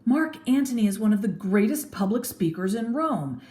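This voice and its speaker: A woman's voice: medium pitch, lively intonation, moderate pace, warm tone, voice pushed up, natural voice. An alarmed Roman plotter, suspicious and urgent, as a woman reader puts him on. He hisses his storybook lines in an echoey room. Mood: alarmed hissing. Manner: hissing, urgent. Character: Cassius, suspicious, alarmed Roman male conspirator.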